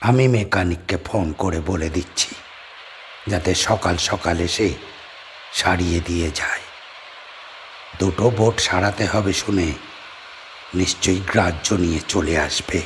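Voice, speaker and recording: A man's voice: low-pitched, steady tone, a little slow, pauses often, fairly bright tone, slight background noise. A man acting as a polite, widowed guest-house owner living alone on an island, in an audio drama. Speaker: D'Souza.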